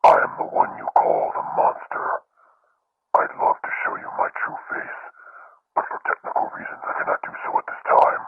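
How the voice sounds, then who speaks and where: A man playing a menacing serial killer in a radio drama, taunting in a slow, theatrical, gravelly whispery voice with distortion, as on a videotape. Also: distant mic. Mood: taunting menace. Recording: dull lo-fi videotape sound.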